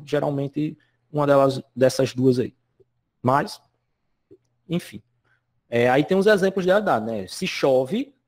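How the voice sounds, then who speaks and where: A man's voice: a little high, darkish tone. A male teacher from Northeast Brazil, explaining a lesson from slides in a chatty way and checking in with his students all the time. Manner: chatty, constantly checks in with "né?" and "certo?".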